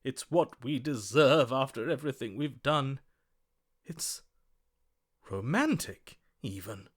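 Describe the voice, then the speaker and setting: An actor playing a lovestruck, gallant romantic hero in a video game, speaking in a florid, swooning, melodramatic way. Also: male voice, medium pitch, lively intonation, takes it slowly. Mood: wistful, romantic. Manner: florid, swooning, dramatic delivery. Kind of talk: theatrical video game voice acting.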